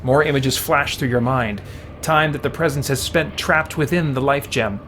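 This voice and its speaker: A man live-streaming a game, reading its text aloud and chatting casually as he thinks out loud. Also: mid-range voice, moderate pace, faint music behind. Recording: steady background noise.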